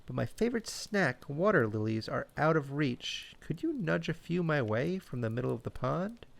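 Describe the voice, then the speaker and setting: A man's voice: fairly high pitch, sing-song, moderate pace, vocal fry. A man voicing a lazy, agreeable female duck in a children's bedtime story. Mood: easygoing.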